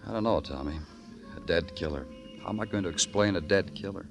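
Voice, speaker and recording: A hard-boiled American detective of old radio noir, weary and terse. His voice sits low, with dramatic music behind it, and his phrases are clipped and moody. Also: brisk, vocal fry. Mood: terse, grim. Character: world-weary, hard-boiled male New York police detective. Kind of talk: 1950s radio noir narration and acting.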